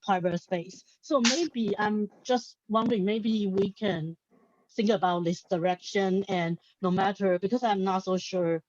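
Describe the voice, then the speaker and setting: A woman's voice: medium pitch, fairly even intonation, unhurried, a little mellow, dry recording, dull audio. A woman from Taiwan with a Taiwanese accent speaks hesitantly as a panelist, repeating words as she works out her thoughts. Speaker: symposium panelist. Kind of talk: unscripted panel discussion.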